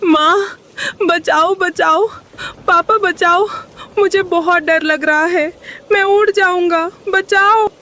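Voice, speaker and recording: A woman's voice: very high voice, steady tone, slow pace, bright, forceful tone, heavily acted. A woman voicing a needy, frightened baby bird with a plaintive, childlike delivery. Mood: terrified. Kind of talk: Hindi moral-story cartoon dialogue.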